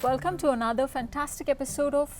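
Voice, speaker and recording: A woman's voice: high voice, fast, very noisy. A woman hosting a podcast, introducing and questioning her guest in an effusive, emphatic manner. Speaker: podcast host and interviewer.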